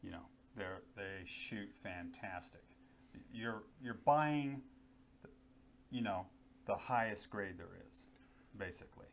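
A man's voice: lowish voice, slow pace, vocal fry, pauses often. A middle-aged man chatting casually about gear, easygoing and enthusiastic, with lots of fillers, on a lapel mic in a small studio. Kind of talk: casual product chat. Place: small indoor studio with guns on wall.

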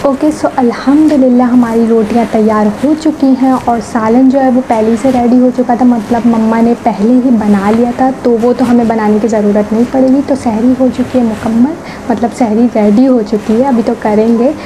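A young woman with a hoarse, sore throat, vlogging casually to her viewers on a phone held at arm's length, rambling and giggly. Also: a little high, steady tone, moderate pace, background noise. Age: young adult. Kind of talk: casual chatty vlog narration. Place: rooftop outdoors, then home kitchen.

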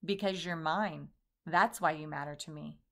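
A woman voicing a kindly, deep-voiced bearded old wood carver who speaks slowly and softly. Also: creaky, full character voice. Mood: tender. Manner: slow, soft, kindly.

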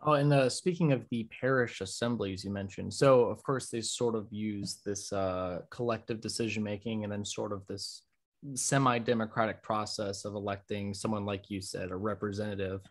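Podcast host, male, twenties, fairly low voice, halting informal questions, headset on a video call. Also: fairly even intonation. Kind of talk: casual interview questioning. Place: home room, indoors.

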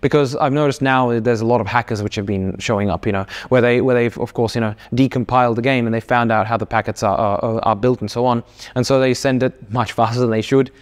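A man's voice: mid-range voice, steady tone, brisk. A man explains technical ideas at an easy, conversational pace. He stumbles through fillers and restarts as he works out his points. He sounds like he is talking across a desk in an office interview. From Australia. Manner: explains with lots of fillers, restarts and hand gestures. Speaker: game developer. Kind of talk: casual interview answers. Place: indoor office studio.

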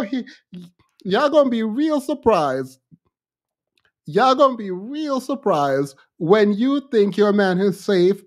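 A man in his thirties hosting a casual livestream commentary, speaking in an animated, sassy and colloquial way into a close desk mic. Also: high-pitched. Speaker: livestream host. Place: small indoor home studio. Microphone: close desk microphone.

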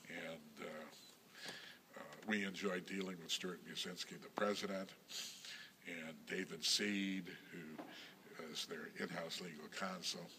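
Older man, synagogue president type; deep gravelly voice, measured and halting, faint hiss in the background. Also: steady tone. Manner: measured, halting, with many ums and self-corrections. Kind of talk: recorded interview reminiscence.

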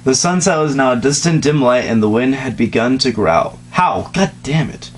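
Young male co-host, mid-range pitch, reads casually and sarcastically with stumbles, slight background hum. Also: expressive, normal speed. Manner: sarcastic, stumbling over words when reading. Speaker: co-host reading fan fiction aloud. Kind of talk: casual read-aloud with joking asides. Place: small bedroom, indoors at night.

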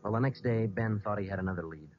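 A man with an American accent playing a terse, deadpan police sergeant in an old-time radio crime drama, both narrating and questioning. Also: fairly low pitch, fast, background noise, lightly acted. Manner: clipped, deadpan, matter-of-fact. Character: terse, businesslike male police sergeant narrating his case. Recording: muffled vintage radio recording with music.

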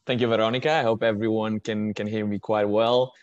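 A man's voice: lowish voice, level tone, brisk, no room sound, muffled recording. A man in his early twenties from Portugal, with a Portuguese accent, speaking informally as a student guest in a webinar. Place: indoors on a video call. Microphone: video call microphone.

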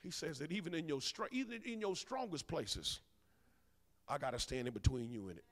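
Man in his forties, pulpit preacher on a handheld mic, fairly high voice, booming then hushed, ribbing the pews, long church-hall echo. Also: a little fast. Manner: animated preaching, bursts loud then drops soft, teasing the congregation. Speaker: minister. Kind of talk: sermon. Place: large church sanctuary.

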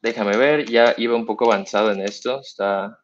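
A man's voice: on the low side, fairly even intonation, normal speed. A young man with a Mexican accent giving a live coding demo at a meetup, explaining step by step with many fillers and restarts. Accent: Mexican Spanish. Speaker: meetup speaker. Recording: dull lo-fi stream audio.

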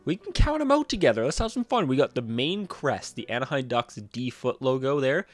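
A man's voice: mid-range voice, big pitch swings, fairly quick. A young man in his twenties, a bit stuffed up from a cold, chatting opinionatedly about hockey jerseys into a desk mic in a small room. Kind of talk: casual vlog commentary. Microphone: desk mic on a boom arm.

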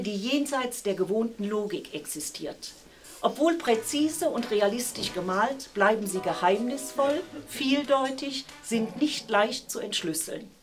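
An older woman delivering a measured, formal opening speech about the artists at an exhibition. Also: a little high. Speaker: opening speaker. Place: gallery, indoors.

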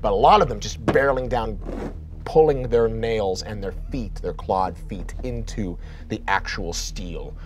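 A man's voice: on the low side, a little slow, faint music behind. A man in his thirties running a tabletop horror game as game master, with vivid descriptive narration full of restarts. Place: studio set with a game table.

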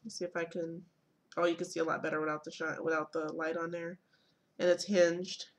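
A woman in her thirties talks at a relaxed pace into a desk webcam in a small room at home. Her voice sits a little low, and she pauses often with ums and uhs as she recaps her day. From Michigan. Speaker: vlogger. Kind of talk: casual product haul and event recap.

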